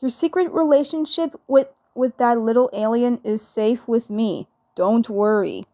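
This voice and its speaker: A woman voicing a teasing, laid-back teenage brother in a fan dub, halting and repeating phrases. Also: a little high, warm tone. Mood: reassuring, teasing.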